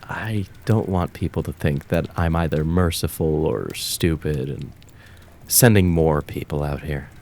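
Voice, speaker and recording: A man voicing a cruel, taunting kitsune fox spirit of the woods in an ASMR roleplay, with drawn-out, mocking, menacing words. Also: very deep voice, darkish tone. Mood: cold, threatening.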